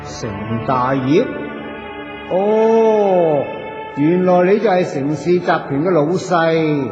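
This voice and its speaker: An actor with a Hong Kong Cantonese accent playing a cheeky, chatty, poor wandering soul in a radio drama, colloquial, playful and coaxing. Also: male voice, a little high, takes it slowly, darkish tone, faint music behind. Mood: mischievous, playful. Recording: dull echoey audio with long reverb.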